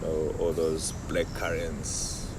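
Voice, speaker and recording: A very low-pitched young man from Zimbabwe chats easily about his work as a winemaker. He talks in an unhurried, reflective way, often murmuring agreement. He is recorded outdoors, with a clear steady hum of background noise. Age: young adult. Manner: relaxed, reflective, frequent affirming "yeah". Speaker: winemaker guest. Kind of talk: casual interview chat. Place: outdoors under a large tree.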